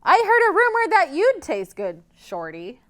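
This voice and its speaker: A woman's voice: very high-pitched, huge pitch swings, measured pace, voice pushed up, heavily acted. Woman putting on a roaring, scratchy giant troll woman. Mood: grudging, sly. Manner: roaring, yelling. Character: grumpy gigantic female troll, scary and menacing. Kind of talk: children's storybook character voice.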